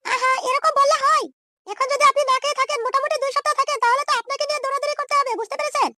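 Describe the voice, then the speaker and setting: Chattering squeaky cartoon nurse. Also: female voice, fairly even intonation, rapid-fire, pushed and bright, no echo, clean studio sound, soft background music, exaggerated voice. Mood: reassuring, coaxing. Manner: fussy, reassuring, insistent. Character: caring, chatty young female hospital nurse. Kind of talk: comedy cartoon dub.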